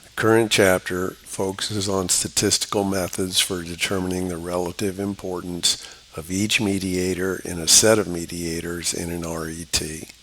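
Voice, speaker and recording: A man delivers a scripted lecture in a low-pitched voice, weighed down by a cold. His intonation stays very flat. The delivery is formal and measured, that of an academic explaining methods step by step. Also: low-quality recording. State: has a cold. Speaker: lecturer, book author.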